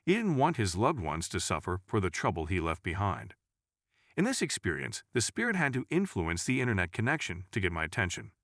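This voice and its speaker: Male narrator for scripted paranormal stories. Lowish register, animated melody, dry recording. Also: fairly quick, no echo. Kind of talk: scripted first-person story narration.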